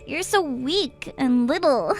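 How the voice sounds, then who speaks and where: A drunk, smug young sister-in-law, acted out by a woman, squealing with giggles in a high, lilting voice. She teases in a mocking sing-song over a light music bed in a dramatized story. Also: expressive, slow, full character voice. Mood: giggly mocking.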